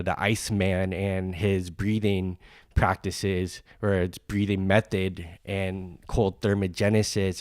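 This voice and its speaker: A man with a very low, flat voice, nasal and stuffed up from a cold. He rambles through a podcast introduction with plenty of ums and restarts, recorded in a dry, close space. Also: no room sound. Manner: rambling, many fillers and restarts. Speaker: podcast host.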